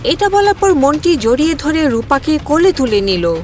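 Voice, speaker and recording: A woman's voice: high-pitched, very animated, moderate pace. A woman narrator reading a scripted cartoon fairy tale.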